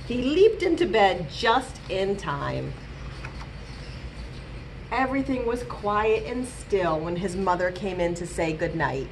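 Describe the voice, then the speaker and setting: A woman in her thirties, a children's librarian, reading a picture book aloud and leading songs for young children in a gentle, sing-song, expressive way, filmed on a webcam in a small room. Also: mid-range voice, slow, slight background noise, low-quality recording. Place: small room with bookshelves, indoors. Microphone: webcam at close range.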